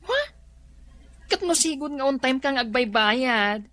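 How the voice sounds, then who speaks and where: A woman's voice: sits high, expressive, unhurried, a bit bright, dry recording. A woman playing a chummy, nosy neighbor with an Ilocano Filipino accent and a singsong delivery in a radio comedy. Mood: friendly, prying.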